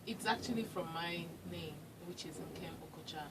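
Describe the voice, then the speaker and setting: A Nigerian woman in her thirties, a writer, talking in a relaxed way with many pauses. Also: lowish voice. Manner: relaxed, hesitant with fillers. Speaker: guest writer. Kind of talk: radio interview. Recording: distant mic with loud noise.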